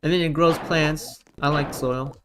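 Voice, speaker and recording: A man from the United States giving casual gameplay commentary, rallying teammates with quick repeated calls. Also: on the high side, steady tone, background noise. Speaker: gamer streamer.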